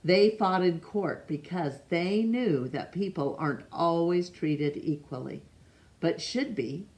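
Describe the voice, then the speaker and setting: Slow low-voiced woman reading to kids. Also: lively intonation. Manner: slow, gentle, asks the listener questions; stumbles over names and rereads them. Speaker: storytime reader. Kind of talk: reading a picture book aloud to children.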